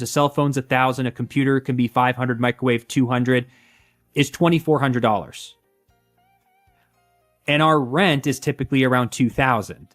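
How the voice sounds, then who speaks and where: A man speaking as an interview guest, explaining conversationally and emphatically, point by point. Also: medium pitch. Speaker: interview guest, real estate agent.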